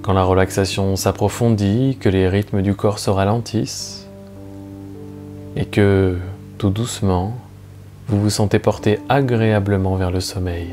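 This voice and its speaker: A man guides a relaxation session as a meditation teacher, speaking slowly and calmly, with soothing, measured phrasing and long pauses. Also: lowish voice. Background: soft plucked guitar music.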